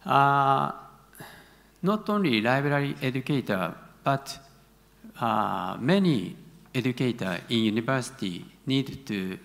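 Man, Japanese accent, slow and halting, academic presenter, reverberant auditorium. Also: medium pitch, lively intonation, gravelly, fairly bright tone. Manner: halting, searching for words. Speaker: conference presenter, university academic. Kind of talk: answering questions after a conference talk. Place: large conference hall stage. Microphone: gooseneck podium microphone.

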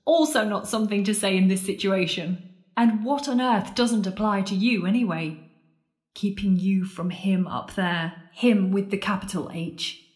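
A woman's voice: mid-range voice, moderate pace, close to an everyday voice. Actress doing wry scared woman at the apocalypse, babbly nervous jokes, audio play, roomy echo. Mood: nervous joking. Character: sardonic, frightened woman confessing at world's end. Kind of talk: audio drama acting. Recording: echoey room.

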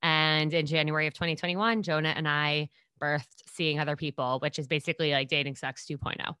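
A woman's voice: sits low, steady tone, fairly quick, sharp tone, smooth, no room sound. A woman in her twenties from New York, a podcast guest telling stories in casual chat and acting out what people said. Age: 20s. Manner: bubbly storyteller, acts out quotes.

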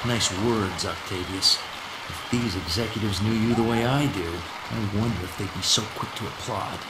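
A man's voice: fairly low pitch, moderate pace, very noisy, close to an everyday voice. An actor playing a young superhero in a video game, glib and sarcastic in the way he talks. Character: wisecracking young male superhero. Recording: echoing, loud music and crowd.

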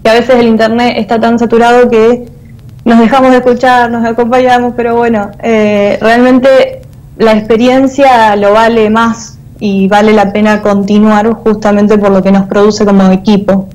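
A woman's voice: a little high, level tone, normal speed, darkish tone, low-quality recording. A woman in her thirties with an Argentine accent, giving conversational interview answers as a guest over a video call. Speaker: guest, theatre artist and teacher. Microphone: earphone mic on a video call.

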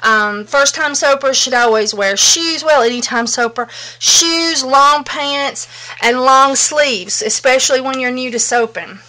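A middle-aged woman with a Southern US accent gives a chatty, folksy soapmaking demonstration in her home soap room. Her voice sits high, and she talks warmly and plainly to her viewers, as if they were sitting beside her. She is picked up by the camera mic rather than a mic clipped close to her. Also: measured pace, low-quality recording. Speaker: soapmaking instructor.

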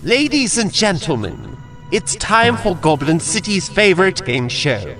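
Goblin game-show host, high, slow, theatrical, storybook fantasy. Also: male voice, wildly swooping pitch, a bit bright, soft background music, heavily acted. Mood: showy excitement. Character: booming showman announcer of a goblin game show.

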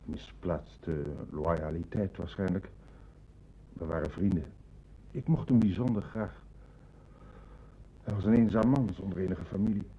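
An actor playing a courteous, troubled senior official in a radio play, speaking formally and hesitantly. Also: male voice, low voice, a little slow, long pauses, breathy edge, full character voice. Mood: shaken, troubled. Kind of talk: Dutch radio drama acting. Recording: dull, noisy old radio recording.

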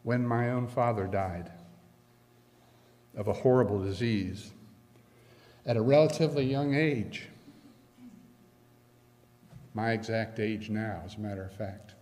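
An older man preaching a sermon from a church pulpit, earnest and measured, with slow emphatic pauses. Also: fairly low pitch, darkish tone. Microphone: pulpit stand mic. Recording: long reverb of a church hall.

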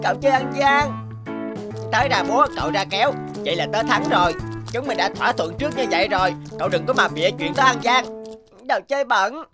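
An excitable young schoolboy from a cartoon, acted by a woman, quick to argue. The voice is childlike and pitched a little high even for a woman. Lines come out emphatic and dramatic over clear background music. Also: close to an everyday voice. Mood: indignant. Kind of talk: animated cartoon dubbing.

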